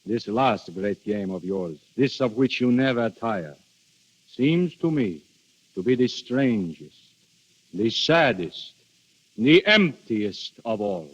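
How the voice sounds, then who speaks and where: An actor in 1930s radio drama playing Death posing as a courtly, world-weary foreign prince, speaking formally and musingly. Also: male voice, on the low side, expressive, breathy edge, heavily acted. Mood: melancholy, musing. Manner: formal, musing, deliberate with hesitations. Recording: dull vintage 1930s radio recording.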